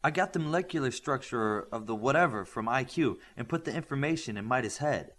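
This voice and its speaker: A man voicing a sardonic, quick-witted young female superhero in an audiobook, wry and matter-of-fact. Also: mid-range voice, expressive, fairly bright tone.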